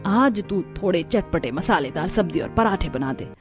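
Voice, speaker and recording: A woman's voice: fairly low pitch, animated, normal speed, lightly acted. A woman voicing a stern, exasperated middle-aged mother-in-law in a cartoon family drama, complaining emphatically. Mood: pleading. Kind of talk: cartoon story character acting. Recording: music under the voice.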